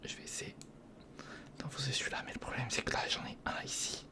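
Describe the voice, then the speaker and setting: Man whispering breathy ASMR commentary. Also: brisk. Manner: murmured, fragmented, thinking aloud. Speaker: ASMR video creator. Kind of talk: whispered ASMR, casual hands-on commentary while building. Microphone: close recorder microphone.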